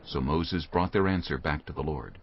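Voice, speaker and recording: A male narrator reads scripture in a very low, husky, creaky voice. His storytelling is measured but expressive in pitch, on a slightly dull recording. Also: a little hiss or hum.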